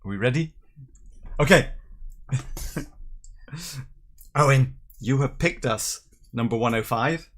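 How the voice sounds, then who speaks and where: A man's voice: mid-range voice, slow, pauses often, a bit bright. A middle-aged man, chatting casually and jokily as he hosts a live tasting show and reads out viewer comments. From Northern Ireland and England. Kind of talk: casual livestream chat, reading out viewer comments.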